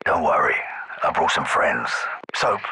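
An actor voicing a gruff British special forces captain in a video game, giving clipped, commanding orders in a gravelly voice. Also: male voice, very deep voice, expressive, measured pace, sharp tone, slight background noise, heavily acted. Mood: calm, hushed command. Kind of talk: video game battle chatter.

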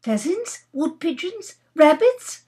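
An anxious, easily panicked young niece pleading in a storybook country house, acted by a woman narrator, pitched up high and swooping. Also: big pitch swings, slow, mellow, full character voice. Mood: anxious, hesitant. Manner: agitated, pleading, breathlessly urgent.

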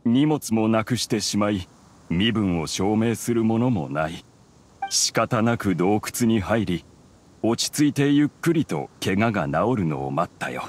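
Low-voiced, gentle solemn father. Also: animated, a little hiss or hum. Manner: grave, measured, tender. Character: gentle, solemn father, a hunter with lost memory. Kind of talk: anime-style game dialogue.

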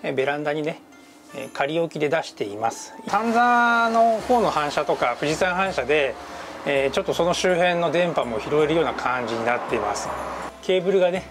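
A man's voice: on the high side, expressive, fairly quick, music in the background. A man giving casual vlog narration as a ham radio hobbyist, often muttering hesitantly to himself. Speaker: amateur radio hobbyist and vlogger.

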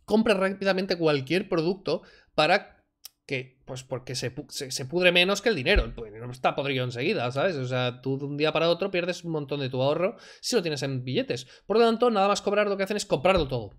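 A man's voice: a little high, fairly quick. A man, commenting sarcastically on a video clip his home desk. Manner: sarcastic, animated, mocking. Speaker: reaction streamer. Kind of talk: casual commentary over a clip. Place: home room, indoors. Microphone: desk mic, wearing headphones.

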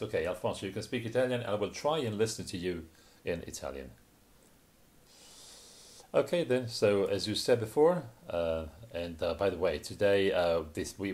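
Low-voiced male interpreter, halting. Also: darkish tone. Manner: hesitant, many fillers and restarts. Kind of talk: simultaneous interpretation of a video-call talk.

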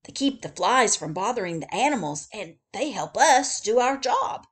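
A woman reading a storybook aloud, voicing a male zookeeper in charge, loud and emphatic. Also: a little high, lively intonation, normal speed, slightly rough. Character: puzzled, bossy male zookeeper.

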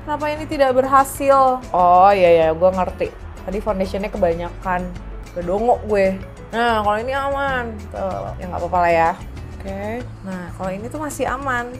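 A woman's voice: medium pitch, animated, moderate pace, faint music behind. A young woman with a stuffy, blocked nose from a heavy cold, chatting casually through a makeup tutorial at home, with offhand self-deprecating asides. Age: young adult. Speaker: beauty vlogger. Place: small indoor room at home, rain outside.

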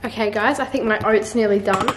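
A woman's voice: medium pitch, steady tone, background noise. A young woman chatting casually to a handheld camera in a small room at home. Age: young adult. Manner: chatty, quick, trailing into quiet asides. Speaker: vlogger. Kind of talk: casual vlog chat to camera. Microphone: handheld camera close to face.

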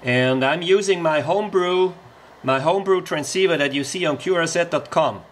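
A man's voice: a little high, moderate pace, slight background noise. A man making a casual amateur radio contact, speaking politely and using radio procedure words.

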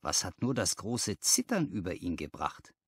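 A man voicing a puzzled, excitable Victorian clerk in an audiobook, speaking in blunt exclamations. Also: sits low, moderate pace, husky, no room sound, exaggerated voice. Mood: puzzled, agitated.

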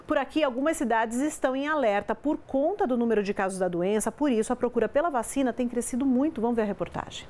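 A woman's voice: fairly high pitch, fast talker, few pauses, a little mellow. A woman, presenting the news as a studio anchor.

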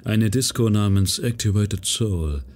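A man's voice: lowish voice, measured pace, a little mellow, lightly acted. A man playing an affable, easygoing small-time hustler in a fan audio drama. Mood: friendly.

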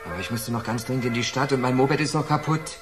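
A man's voice: mid-range voice, fast talker, over music, close to an everyday voice. An actor playing an anxious young male petty thief in a radio crime drama, pleading and evasive. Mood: evasive, defensive. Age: young adult.

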